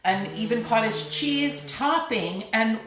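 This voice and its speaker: A woman's voice: fairly high pitch, slow pace, a bit bright. A middle-aged woman from around Sacramento, chatty and joking as a guest in a friend's kitchen. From Sacramento area. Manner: chatty, joking, talks over others. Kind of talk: casual kitchen chat. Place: home kitchen. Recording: echoey kitchen, pans clattering.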